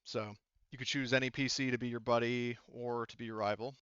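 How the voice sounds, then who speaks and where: Male game master, smooth and informal, halting as he instructs; close, dry, slightly muffled sound. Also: mid-range voice, fairly even intonation, a bit bright, no room sound. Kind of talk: explaining tabletop game rules, casual.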